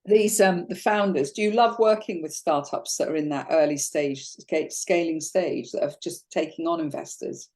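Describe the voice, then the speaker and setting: This is a woman hosting an interview, her voice croaky today. She speaks very low, with a dark, mellow tone. She shares her own story openly and stumbles over restarts as she goes. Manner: candid and personal, with halting restarts. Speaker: host. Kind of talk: conversational interview.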